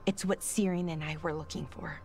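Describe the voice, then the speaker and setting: A woman playing an earnest, conflicted young elf scholar in a video game, speaking in a thoughtful, halting way. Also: lowish voice, steady tone, darkish tone, faint music behind, natural voice. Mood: sad, resigned. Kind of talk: dramatic video game dialogue.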